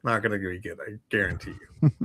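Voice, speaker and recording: An American man with a slightly low-pitched voice. He talks casually as a show co-host, in an easygoing and joking manner. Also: a little fast, fairly bright tone, husky. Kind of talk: casual chat.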